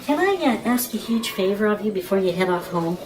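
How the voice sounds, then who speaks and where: Actress as friendly radio colleague Lisa, mid-pitched. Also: female voice, lively intonation, brisk, a crowd in the background, natural voice, poor audio. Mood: friendly, concerned. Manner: casual, conversational. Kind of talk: audio drama acting.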